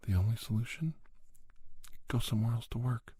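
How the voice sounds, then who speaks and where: A man hosting an ASMR podcast, giving personal advice in a soft, breathy whisper with deliberate, repetitive phrasing. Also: medium pitch, no room sound, clean studio sound. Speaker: podcast host.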